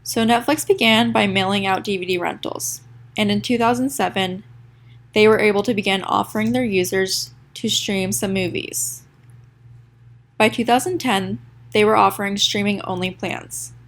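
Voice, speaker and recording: A woman's voice: mid-range voice, steady tone, normal speed. A sick young woman with a nasal, stuffy voice, reading her class presentation from a script and stumbling now and then. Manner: reads a prepared script with small stumbles and restarts. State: sick, congested. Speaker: student presenter.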